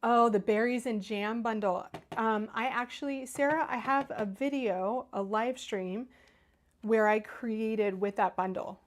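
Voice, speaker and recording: A woman's voice: a little high, steady tone, a little slow. A middle-aged woman from Northern Kentucky with a Midwestern American accent, chatting enthusiastically as she demonstrates cardmaking on a live stream and answers viewers. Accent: Ohio-like Midwestern American. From Northern Kentucky, USA. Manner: chatty, enthusiastic, talks while working. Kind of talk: casual craft demo, reading live chat.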